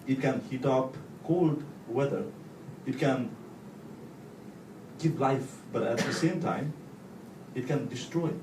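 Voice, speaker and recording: Nepali-accented man, halting, in an echoey room. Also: medium pitch. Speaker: guest speaker, volunteer. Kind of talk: personal talk to an audience. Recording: echoey room, distant mic, clear noise.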